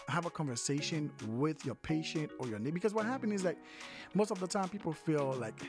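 A language teacher giving an informal lesson, repeating each phrase several times, with some hesitation and self-correction. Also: mid-range voice.

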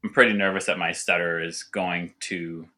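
Guest, male, stutters; deep and bright, halting delivery. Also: fairly even intonation. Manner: hesitant, with restarts and repeated words. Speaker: winemaker guest. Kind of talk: casual podcast interview.

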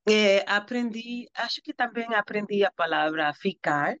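A woman's voice: mid-range voice, unhurried, a bit bright, no room sound. A woman from the Dominican Republic whose first language is Spanish speaks as an interview guest. She talks hesitantly and searches for words as a learner. The recording is dry and a little dull. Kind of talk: casual interview.

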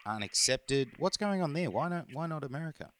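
A man's voice: fairly high pitch, lively intonation, a little fast, a bit bright. A man from England and Norway hosting a podcast, asking friendly questions with fillers in casual talk. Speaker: podcast host. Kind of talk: casual interview.

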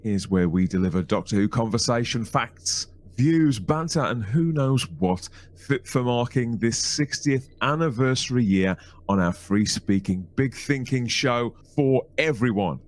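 A man's voice: medium pitch, expressive, measured pace, a little hiss or hum. Chatty Birmingham man hosting a podcast. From England. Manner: playful, chatty, self-mocking. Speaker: podcast host. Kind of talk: scripted podcast intro.

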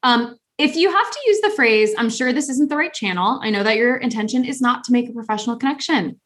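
Animated woman with a high voice. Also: fast talker. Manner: animated, laughs often, many fillers. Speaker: podcast co-host. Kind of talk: casual podcast chat.

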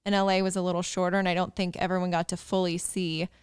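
A woman hosting a casual podcast chat, warm and praising toward her guest and laughing along. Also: lowish voice, flat delivery, fast talker, clear, resonant tone, no echo, clean studio sound. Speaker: host.

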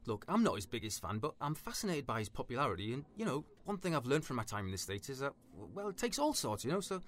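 A man's voice: mid-range voice, expressive, fast talker, a little hiss or hum, natural voice. An actor playing a nervous, over-polite man, hesitant and placating in a comic dialogue. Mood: nervously curious.

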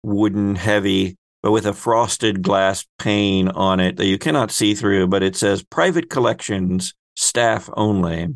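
A man narrating a tabletop role-playing game as game master, describing scenes in measured phrases with pauses. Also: on the low side, gravelly, dry recording.

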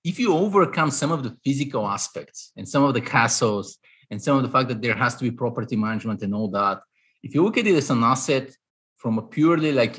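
A man's voice: mid-range voice, a bit bright, slightly rough. A man in his thirties with a Bulgarian accent, an investor answering interview questions analytically, with many fillers and restarts. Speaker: guest, real estate investor.

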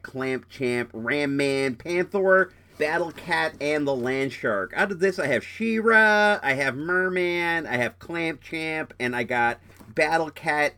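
A man's voice: mid-range voice, sharp tone. A young man hosting a podcast who is sick and stuffed up, chatting casually and jokingly while he unboxes a toy. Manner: rambling, jokey, self-interrupting. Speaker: podcast host.

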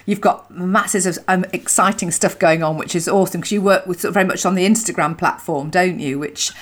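A woman's voice: lowish voice, fairly even intonation, brisk. A woman hosting a podcast in casual interview chat, gushing and giggly, stumbling over her words as she talks. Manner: gushing, giggly, with many restarts. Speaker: podcast host.

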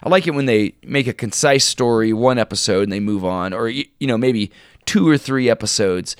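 A man co-hosting a podcast, chatting casually in an informal, halting way and sounding enthusiastic about his favorites. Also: mid-range voice, smooth, no echo, clean studio sound. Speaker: podcast co-host. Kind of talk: casual chat, recommending shows and books.

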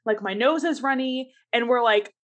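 A woman's voice: on the high side, normal speed, a bit bright. A young woman co-hosting a casual chat, telling stories with lots of laughter and filler words. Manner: chatty, storytelling, laughs often. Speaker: co-host.

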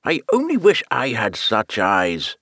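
A man's voice: medium pitch, huge pitch swings, fairly bright tone, husky, no room sound, clean studio sound, heavily acted. A man doing a fretful fussy old king. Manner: fretful, slow and drawn out. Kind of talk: audiobook character reading.

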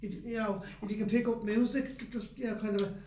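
A man's voice: high voice, little pitch movement, fast talker, warm tone, reverberant. An Irish man co-hosting a podcast, telling stories in a chatty way and often checking in with "right?". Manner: chatty storyteller, tags lines with "right?". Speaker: podcast co-host. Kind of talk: casual chat.

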